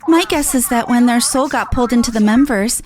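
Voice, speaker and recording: A woman voicing an earnest, techy young female inventor from a video game, with a measured, explanatory manner. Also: on the high side, a little mellow, soft background music, close to an everyday voice. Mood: thoughtful.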